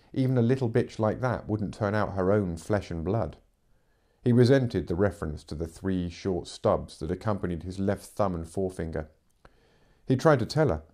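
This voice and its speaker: A man's voice: sits low, warm tone. A middle-aged man reading fiction aloud as a narrator, in dramatic audiobook style. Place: living room, seated in armchair.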